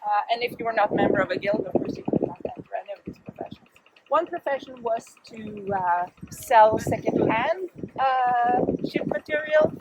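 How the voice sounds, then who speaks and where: A woman from Norway, guiding a walking tour outdoors at a harbor, halting and full of filler sounds. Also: fairly high pitch, animated, muffled recording. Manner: halting, many filler sounds, loose grammar. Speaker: tour guide. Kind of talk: guided walking tour talk. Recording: loud outdoor street noise.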